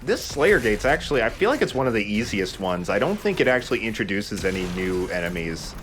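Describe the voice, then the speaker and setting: A man's voice: on the low side, sing-song, fairly quick, a bit bright. A man giving casual, joking, sweary commentary as he plays a video game with friends. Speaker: let's play host playing the game. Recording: faint background noise.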